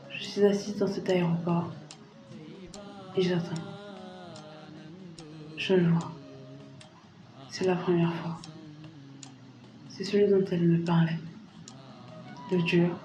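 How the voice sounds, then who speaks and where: A woman's voice: on the low side, warm tone, echoey room, dull audio. An actress playing an Indian girl who grows into a lonely bride, narrating her own life in a scripted dramatic style. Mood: lonely, waiting. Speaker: lead narrator.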